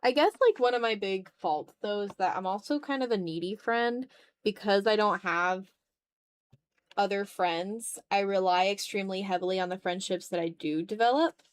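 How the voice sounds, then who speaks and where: Young female art streamer, mid-pitched, casual and slangy, animated, self-deprecating chatter. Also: moderate pace, clear, resonant tone. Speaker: art livestreamer. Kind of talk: casual livestream chat while drawing.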